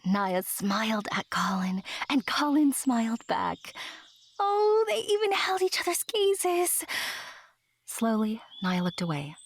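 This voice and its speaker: A woman playing a chatty, whimsical narrator in a fantasy audio drama, breathy and confiding, with playful asides. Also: fairly high pitch, big pitch swings, slow, full character voice. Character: chatty, whimsical storyteller fond of her enchanted forest.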